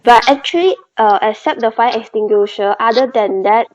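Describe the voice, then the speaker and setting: A woman's voice: fairly high pitch, moderate pace, a little mellow. A woman with a Malaysian accent explaining things informally in a work meeting on a video call. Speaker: meeting participant. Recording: dull video call audio.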